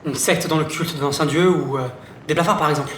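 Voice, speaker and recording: Man acting a higher-voiced adventurer, distant, noisy. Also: fairly even intonation, fast, a bit bright, close to an everyday voice. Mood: probing. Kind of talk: French audio drama acting. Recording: distant voice, clear background noise.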